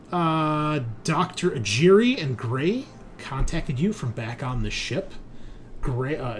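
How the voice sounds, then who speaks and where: A man's voice: mid-range voice, background noise. A man working as game master, recapping a tabletop game session in an informal, conversational storytelling way.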